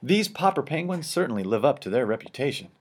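A man's voice: medium pitch, big pitch swings, quick pace, husky, pitched above the performer's own voice. A man reading a storybook, voicing amused sailors on a ship.

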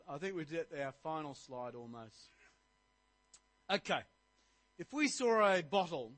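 A man's voice: on the high side, a bit bright, no room sound. A middle-aged man, preaching a sermon as pastor, rising to emphatic points. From Australia.

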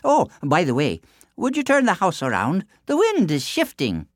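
A man voicing a clever, calm giant's wife in a storybook tale, soothing and sly in a raised voice. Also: high-pitched, normal speed, dry recording, clean studio sound, pitched above the performer's own voice.

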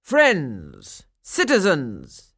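A woman's voice: fairly high pitch, big pitch swings, moderate pace, pitched above the performer's own voice. A woman voicing a pompous, big-bellied male mayor in a storybook, making grand proclamations to townsfolk. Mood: calling for attention. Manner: proclaiming, grand public address.

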